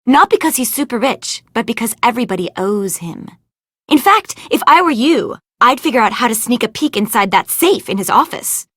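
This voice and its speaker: A woman's voice: a little high, very animated, moderate pace, a bit bright, no room sound, clean studio sound. A woman voicing a vain, ditzy young movie actress in an adventure game, gushing and theatrical in a gossipy way. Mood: conspiratorial. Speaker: video game voice actor. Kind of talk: animated adventure game dialogue.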